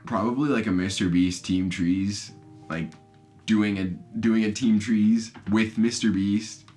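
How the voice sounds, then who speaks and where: Young man of twenty, low voice, casual self-interview, candid and chatty, faint music behind. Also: fairly even intonation, a little slow, darkish tone. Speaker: vlogger answering yearly self-interview questions.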